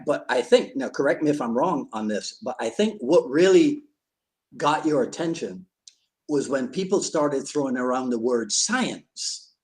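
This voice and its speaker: A man hosts a relaxed live-stream chat. His voice sits at an ordinary middle pitch, and he jokes easily, laughs often, and circles back over his words as he talks. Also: unhurried. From Barbados. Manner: chatty and jokey, laughs often, repeats words as he goes. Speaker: podcast host.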